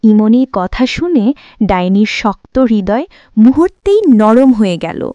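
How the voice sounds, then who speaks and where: A woman's voice: medium pitch, big pitch swings, measured pace, warm tone, no echo. A woman narrating a scripted horror story for a cartoon.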